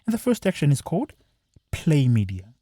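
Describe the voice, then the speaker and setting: Male tutorial presenter, mellow slightly husky tone, lively pitch, step-by-step delivery, dry close sound. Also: normal speed, dry recording. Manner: plain step-by-step explaining. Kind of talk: screen-share walkthrough.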